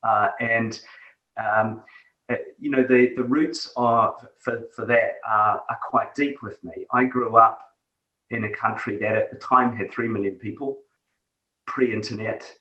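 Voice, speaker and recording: A man's voice: lowish voice, steady tone, a little slow, pushed and bright, dull audio. Reflective New Zealand man, roomy sound. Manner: reflective, many fillers and restarts. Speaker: guest, tech executive. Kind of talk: conversational interview. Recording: echoey room, distant from mic.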